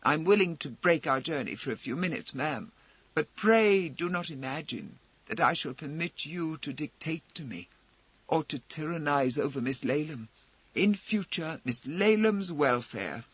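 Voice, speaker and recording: A man voicing a sulky, blustering young gentleman who speaks high and indignant. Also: lively intonation, moderate pace, slightly rough, no room sound, highs cut off. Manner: blustering and indignant, rising pitch.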